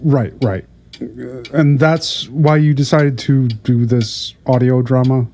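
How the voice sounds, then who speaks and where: A man playing a patient older therapist in an audio drama, hesitant and stammering as he gently probes. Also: mid-range voice, fairly even intonation, slow pace. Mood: cautious probing. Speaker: prison therapist. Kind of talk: audio drama acting, conversational.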